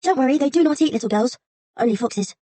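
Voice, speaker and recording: A woman voicing a headstrong young girl in a storybook reading, with high, bright exclamations. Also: animated, quick pace, no echo. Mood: eager.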